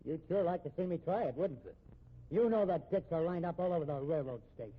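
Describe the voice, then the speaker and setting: High-pitched, husky cornered tough guy. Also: animated, fast. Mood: hostile, barking. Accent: American. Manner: slangy, sneering, threatening. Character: cornered fugitive killer, tough, bitter, working-class brother. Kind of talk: 1950s radio drama acting. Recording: muffled, tinny old radio recording.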